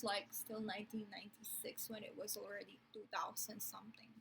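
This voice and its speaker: A woman's voice: medium pitch, fairly bright tone, slight background noise, poor audio. A young woman from the Philippines with a Filipino English accent, explaining things in a chatty, rambling vlog full of ums and asides. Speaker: vlogger.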